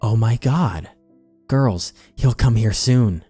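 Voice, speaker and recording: A man voicing excitable young women gossiping over a crush, in a melodramatic, emphatic way. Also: medium pitch, slow, breathy edge, faint music behind, pitched above the performer's own voice. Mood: excited, anxious.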